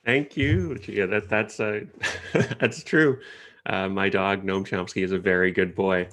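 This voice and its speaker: A man from Canada, moderating an online panel in a relaxed, chatty way with hesitant fillers, over a video call. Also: deep voice, unhurried. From Ottawa, Canada.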